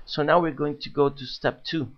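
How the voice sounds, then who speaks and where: A man narrating a step-by-step software walkthrough in a plain explanatory way, with frequent "uh" fillers. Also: fairly high pitch, steady tone, moderate pace, highs cut off. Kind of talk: screen-recorded software walkthrough.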